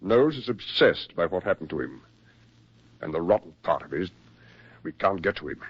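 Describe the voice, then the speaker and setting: A man acting a brisk, persuasive military psychiatrist with genteel, insistent delivery in a 1940s radio drama. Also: low voice, very animated, slightly rough, exaggerated voice. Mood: grave, weary concern. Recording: muffled 1940s radio recording.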